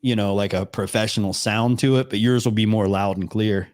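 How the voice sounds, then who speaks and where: A man's voice: on the low side, fairly even intonation, brisk, a little mellow. A man hosting a call-in livestream, chatting loosely with callers through a studio microphone. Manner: loose, rambling, many restarts. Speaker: livestream call-in show host. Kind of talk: casual livestream chat. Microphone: studio dynamic microphone.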